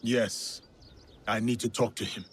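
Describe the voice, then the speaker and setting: An actor playing a stern, principled, guarded samurai in a video game, grave and terse. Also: male voice, lowish voice, fairly even intonation, measured pace, slightly rough. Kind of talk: video game cutscene acting. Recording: music faintly behind the voice.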